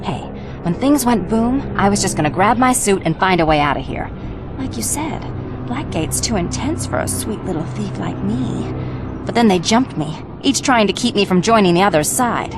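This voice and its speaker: Woman acting a sly, flirty thief over loud music. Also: medium pitch, lively intonation, moderate pace, lightly acted. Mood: matter-of-fact, confiding. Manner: teasing, coy, persuasive. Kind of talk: video game cutscene acting. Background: loud game music.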